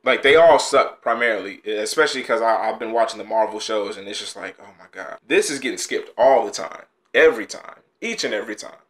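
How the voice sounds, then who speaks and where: A man's voice: on the low side, fairly quick, few pauses, a bit bright. A young man hosting a casual reaction video, blunt and exasperated, with frequent sighs and groans, recorded in a small room at home. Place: small bedroom studio indoors.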